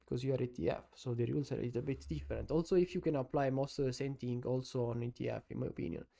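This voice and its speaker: Italian man as a live-stream sports analyst: gravelly, mellow, halting non-native English. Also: medium pitch, steady tone. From Italy. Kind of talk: casual live-stream commentary.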